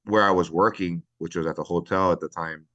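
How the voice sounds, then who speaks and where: A middle-aged man from Hawaii, a retired fire battalion chief, speaking earnestly and reflectively with many pauses in a podcast interview. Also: deep voice, fairly even intonation, a bit bright, no room sound, muffled recording.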